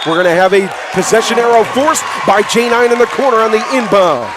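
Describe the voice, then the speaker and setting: A fairly high-pitched man gives live radio play-by-play of a basketball game. He talks in clipped, excitable phrases and lifts his voice on big plays. A lively crowd and clear gym noise sit behind him. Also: brisk. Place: indoor high school gymnasium. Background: crowd and bouncing basketball.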